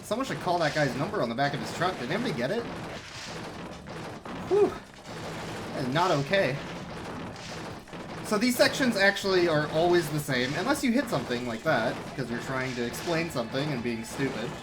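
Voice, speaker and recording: A man commentating his own video game speedrun in a relaxed, explanatory way, with sudden excited outbursts. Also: fairly high pitch, normal speed, pauses often, background noise. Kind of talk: casual live-stream commentary. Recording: game music playing behind.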